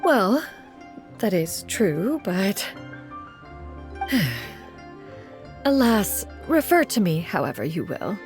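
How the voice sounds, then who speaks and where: Lofty old-fashioned spirit lady, fancy archaic talk, lively pitch, soft music behind. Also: slow, long pauses. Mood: reflective. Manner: archaic, refers to herself as "one", lofty. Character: haughty ancient adepta, female crane spirit. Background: game music.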